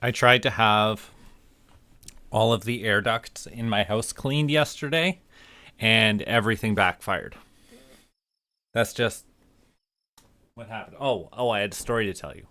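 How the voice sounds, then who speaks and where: A man chatting casually on a livestream as host, rambling and halting in asides to viewers. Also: on the low side, pushed and bright, no echo. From Canada. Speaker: streamer, VTuber host.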